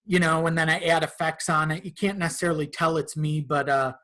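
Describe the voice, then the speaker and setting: A man's voice: a little high, flat delivery, fairly quick, bright, forceful tone. A man chatting casually and informally as a podcast guest about his own creative work, swearing now and then. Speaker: podcast guest, horror audio drama creator.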